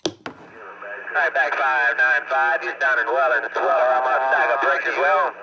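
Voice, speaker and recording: A man's voice: mid-range voice, level tone, normal speed, vocal fry, heavy background noise. A man working as a CB operator, trading radio contact chatter over a dull, distant transmission.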